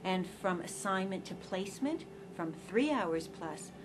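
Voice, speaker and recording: A woman's voice: mid-range voice, animated, unhurried, background noise. A woman in hospital management giving an explanatory testimonial interview. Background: music.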